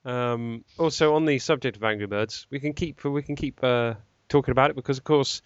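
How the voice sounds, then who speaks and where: A man's voice: mid-range voice, a little fast, clean tone, no room sound, lo-fi sound. A man hosting a casual podcast chat, joking and laughing often as he moves the talk along. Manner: jokey, laughs often, leads the topics. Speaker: podcast host.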